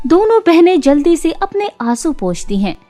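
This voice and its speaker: A woman's voice: very high voice, sing-song, normal speed, mellow, soft background music. A woman storyteller reading scripted narration for a children's moral tale.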